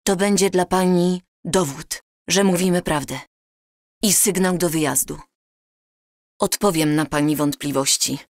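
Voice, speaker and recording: Earnest female time traveler, deliberate, slow-paced, dry studio sound, audio drama. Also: lowish voice, no room sound. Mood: solemn, reassuring. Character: earnest married woman, a time traveler from the future.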